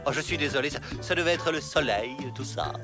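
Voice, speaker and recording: A man voicing a fussy, gullible prison director in an animated series, formal, courteous and easily flustered. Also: mid-range voice, fairly quick, close to an everyday voice. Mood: apologetic. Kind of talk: French animated series dub. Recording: music behind the voice.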